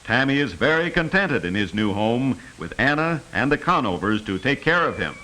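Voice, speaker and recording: A man's voice: mid-range voice, big pitch swings, normal speed, pushed and bright. A man narrating a 1950s educational film, telling a simple story earnestly, as if for children. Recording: noisy vintage 1950s film soundtrack.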